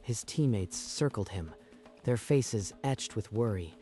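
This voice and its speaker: Deep, mellow male dramatic narrator. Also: lively intonation, slow, soft background music. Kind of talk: dramatic scripted story narration.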